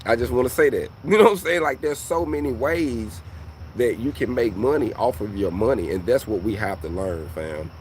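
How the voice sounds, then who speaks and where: A man's voice: mid-range voice, moderate pace, a little hiss or hum. A man in his forties, a welding coach, talking casually to his viewers and often calling them "fam". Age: 40s. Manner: conversational, repeats "fam" and "you know what I mean". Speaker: welding coach and channel host. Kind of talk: casual motivational talk to viewers.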